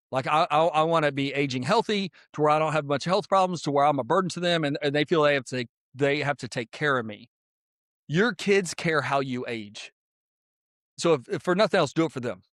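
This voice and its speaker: A man of fifty, hosting a podcast in a casual, energetic monologue full of "like" and repeated points. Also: on the high side, no room sound, clean studio sound. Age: 50s. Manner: energetic, repetitive, filler-heavy persuasive talk. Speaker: podcast host.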